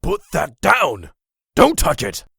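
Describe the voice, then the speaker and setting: Raspy, swooping old vagrant mentor. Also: male voice, fairly high pitch, huge pitch swings, slow, a bit bright, no echo, studio quality, exaggerated voice. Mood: alarmed. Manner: folksy, condescending. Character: sly, knowing old male vagrant mentor. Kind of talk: comic dub acting.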